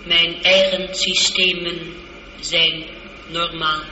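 A woman playing a polite, logical ship's computer in a science fiction radio drama, speaking in a measured, formal, precise way through a reverberant effect. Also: lowish voice, little pitch movement, bright, forceful tone, heavily acted. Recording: echoey, dull, lightly noisy radio recording.

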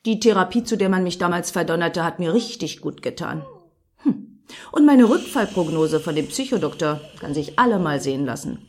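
A woman's voice: fairly low pitch, expressive, normal speed. Dreamy, obsessive female fan, swooping intonation. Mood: self-justifying. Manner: dreamy, rambling, self-justifying musing. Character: excitable obsessive female fan of a cabaret artist, self-justifying. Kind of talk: radio play dialogue.

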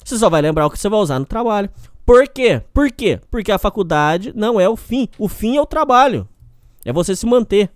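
Man, caipira Brazilian accent, solo podcast host, rambling and repetitive, lively rising voice, dry close mic. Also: sits high, brisk, no room sound. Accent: Brazilian countryside (caipira). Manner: rambling and repetitive, speaks straight to the listener. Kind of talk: casual solo podcast monologue.

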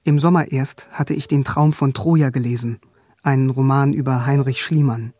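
An actor playing a bookish, quietly stubborn young conscript soldier who tells his own story in a radio drama. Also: mid-range voice, fairly even intonation, normal speed, no echo, studio quality, lightly acted. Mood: wry, reflective. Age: young adult. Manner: wry and reflective, nervous before officers. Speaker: narrator and lead character. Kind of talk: first-person radio drama narration and dialogue. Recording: music under the voice. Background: music.

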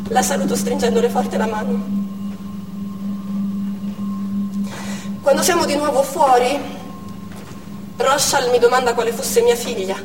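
An anxious, protective mother among hostages, played by a stage actress, narrates in the present tense. Her voice is fairly high, recorded at a distance in an echoing theatre with clear noise. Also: moderate pace, lightly acted. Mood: guarded, tender. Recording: echoey theatre, far mic, noisy.

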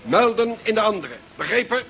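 An actor playing a brusque, by-the-book navy commander with clipped orders in a 1970s science-fiction radio play. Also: male voice, high-pitched, expressive, normal speed, gravelly, a bit bright, exaggerated voice. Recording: dull 1970s radio recording.

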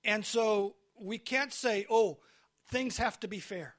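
A man from California with an American accent, preaching a sermon in short phrases and pausing for an interpreter, often restarting his words. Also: high-pitched, fairly bright tone. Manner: short phrases with pauses for translation, frequent restarts. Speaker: guest preacher. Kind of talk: sermon delivered through an interpreter.

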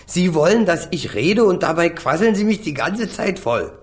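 A man's voice: high voice, very animated, moderate pace. A man acting a cheeky, street-tough young defendant in a radio crime drama, slangy, insolent and taunting. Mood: cheeky, dismissive.

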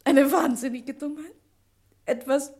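A woman's voice: high-pitched, unhurried, a little mellow. An actress playing a frightened, pleading woman who speaks hesitantly in a German radio crime drama. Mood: desperate pleading.

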